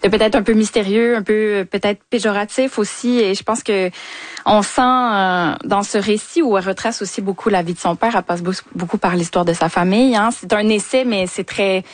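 A woman's voice: medium pitch, fairly quick, no room sound. Reflective woman panellist, dry studio sound. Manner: reflective, hesitant phrasing with repeated words. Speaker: panellist, culture commentator. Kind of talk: unscripted panel discussion.

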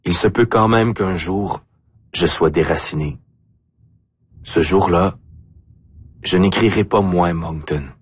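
A man with an Acadian French accent reciting spoken-word poetry deliberately, in short phrases with pauses. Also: deep voice, husky. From Acadia, New Brunswick, Canada. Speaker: poet.

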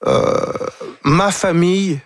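Gravelly, halting man speaking reflectively. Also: high voice, a crowd in the background. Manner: halting, often repeats words while searching. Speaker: guest. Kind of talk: reflective conversation.

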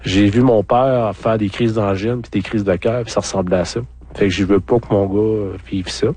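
A man's voice: lowish voice, steady tone, normal speed, a little mellow. A man with a Quebec French accent, telling a personal story as an interview guest in a candid, colloquial way. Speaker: interview guest, former farmer.

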